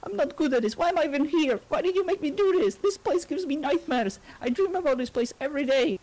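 A woman's voice: high-pitched, lively intonation, fast, darkish tone, low-quality recording. A woman voicing an awkward, self-doubting, easily embarrassed storyteller in a fantasy game roleplay, hesitant and self-deprecating.

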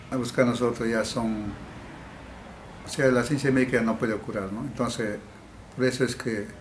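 Slow, halting man in echoey room. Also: mid-range voice. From Peruvian Amazon. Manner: halting, with frequent restarts and repeated words. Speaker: healer interviewee. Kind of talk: interview answers. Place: indoor room. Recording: echoey room, faint background hiss.

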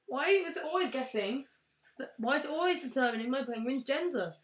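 Brit girl yelling over a game, kinda high and bright, echoey room. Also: brisk. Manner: excitable, shouts and squeals at wins and losses. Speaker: let's play host. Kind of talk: casual gameplay commentary. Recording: roomy echo.

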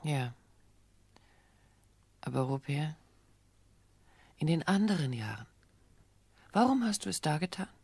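A fairly low, very breathy voice for a gentle, dutiful character in an audio drama. She is soft, restrained and hesitant, recorded close and dry. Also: sing-song, unhurried, pauses often, no room sound, heavily acted. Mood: timid, tender. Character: gentle, self-effacing, dutiful sister-in-law. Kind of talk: radio play dialogue.

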